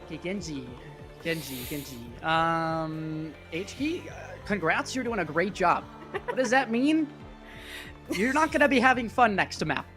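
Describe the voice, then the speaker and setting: A playful esports commentator with a mid-pitched voice calls the action live. The delivery is conversational, and music plays clearly behind the voice. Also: lively intonation, unhurried, a bit bright. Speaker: esports commentator and broadcast host. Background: background music.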